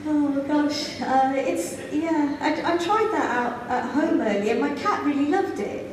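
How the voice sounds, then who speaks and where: High, playful woman comic in an echoey club. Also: a little slow, background noise. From Zambia. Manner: playful, giggles at her own jokes. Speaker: stand-up comedian. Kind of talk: stand-up comedy set. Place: comedy club stage. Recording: echoey club sound from far off.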